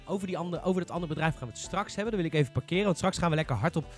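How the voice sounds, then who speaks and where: A man's voice: on the high side, rapid-fire, soft background music. A man hosting a casual gaming podcast, speaking in a frank, opinionated way. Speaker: podcast host.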